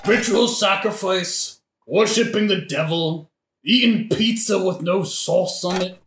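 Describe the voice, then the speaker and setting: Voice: mid-range voice, a little slow, natural voice. An actor in a satirical audio play playing a blunt, drunk, rambling old-hand gravedigger with a flippant, matter-of-fact manner. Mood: rambling, gossipy. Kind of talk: satirical modern-language audio play acting. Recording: echoey room with faint noise.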